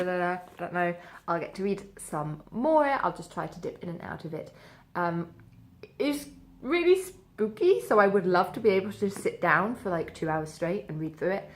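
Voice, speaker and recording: A woman talking casually and informally as a book vlogger, thinking aloud as she goes. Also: on the low side. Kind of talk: casual spoken reading vlog.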